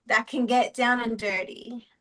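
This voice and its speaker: High-voiced woman co-host from Texas. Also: steady tone, unhurried, sharp tone, low-quality recording. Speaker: podcast co-host. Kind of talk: casual podcast chat.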